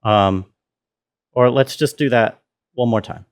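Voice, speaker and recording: A man's voice: medium pitch, takes it slowly, clear, resonant tone. A man giving an informal walkthrough as a sound designer, thinking aloud as he explains. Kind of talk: casual tutorial walkthrough.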